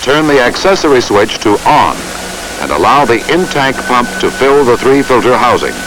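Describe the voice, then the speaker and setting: Male narrator for an instructional film: formal and measured, lively intonation, slightly hissy vintage soundtrack. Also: medium pitch, low-quality recording.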